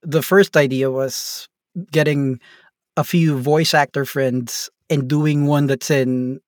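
Relaxed Filipino man, rambling. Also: a little high, slow pace, no room sound, clean studio sound. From Philippines. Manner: rambling, relaxed, many fillers and tag questions. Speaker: game master guest. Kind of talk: casual interview.

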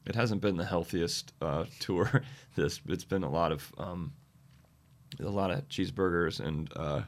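A man, a touring musician guest, chatting casually with a slightly scratchy, tired voice and many halting fillers. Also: low voice. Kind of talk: casual interview chat.

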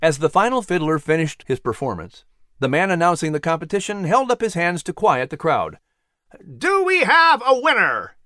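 A man narrating a story in an animated, dramatic, expressive style. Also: on the high side, brisk, no echo, clean studio sound. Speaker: audiobook narrator.

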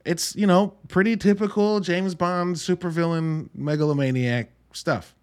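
A man's voice: sits high, steady tone, unhurried. Casual, wry male podcast host. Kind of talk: casual solo movie review.

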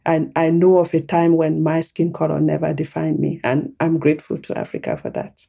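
A woman from Cameroon, speaking as a podcast guest in a soft, reflective way with hesitant fillers. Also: deep voice, lively intonation, normal speed, creaky, husky. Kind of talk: reflective conversation.

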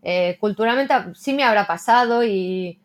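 A woman's voice: mid-range voice, measured pace. Reflective Spanish-speaking woman translator. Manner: reflective, frequent "¿no?" tags. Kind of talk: casual interview conversation.

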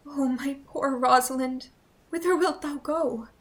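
A woman playing a spirited, loyal young noblewoman in a Shakespearean radio play, earnest and expressive. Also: sits high, measured pace, slight background noise. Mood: tender, resolute.

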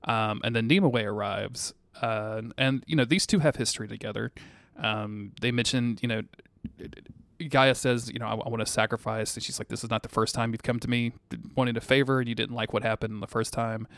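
Creaky-voiced man in casual, sweary banter. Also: medium pitch, a little fast, no room sound. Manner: animated, slangy, profane. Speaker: podcast co-host. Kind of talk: casual TV episode recap.

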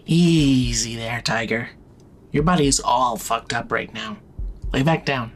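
A woman playing a laconic, reluctant but gruffly caring character in a fiction podcast. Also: rumbling low, a little slow, slight background noise. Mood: gently commanding. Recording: music underneath.

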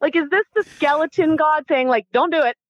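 A Canadian-accented woman telling stories casually as an interview guest, animated and informal, acting out bits of dialogue as she goes. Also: sits high, brisk, poor audio. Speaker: interview guest, former Olympic athlete. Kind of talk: casual conversational storytelling.

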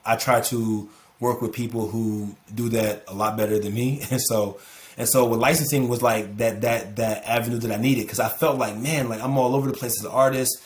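A man's voice: mid-range voice, fairly even intonation, normal speed. A man in his thirties, a guest on a podcast, talking reflectively with many repeated words. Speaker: podcast guest, music producer. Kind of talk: casual interview chat.

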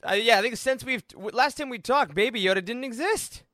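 A man with a high, bright voice co-hosts a casual chat. His delivery swings around in pitch, jokey and animated, and he sounds close and dry. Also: fairly quick, no echo. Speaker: podcast co-host.

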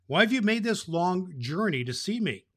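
A man's voice: sits high, sing-song, normal speed, fairly bright tone, slightly rough, pitched above the performer's own voice, exaggerated voice. A man voicing a kindly old toymaker who laughs and speaks soothingly in a storybook reading. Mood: cheerful.

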